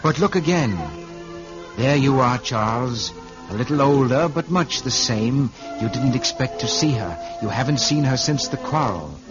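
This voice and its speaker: A man acts out an otherworldly guide in an old radio play, solemn and all-knowing. He lays out visions in measured, poetic phrases at a middling pitch. The recording is dull and vintage, with prominent music underneath. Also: expressive, lightly acted. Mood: gentle, evocative. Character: personified Tomorrow, all-knowing, calm, unyielding male guide. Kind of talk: old-time radio drama acting.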